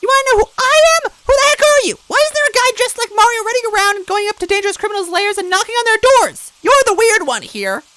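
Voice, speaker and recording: A man voicing a tough, spunky young toad woman, a former police officer who is blunt and quick to yell. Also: very high voice, lively intonation, dry recording, pitched above the performer's own voice, heavily acted, low-quality recording. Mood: angry.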